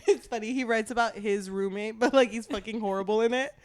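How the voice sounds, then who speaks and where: A woman's voice: on the high side, brisk. Irreverent woman chatting casually about books. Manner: irreverent, swears freely, jokey. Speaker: book podcast co-host. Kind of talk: casual book discussion chat.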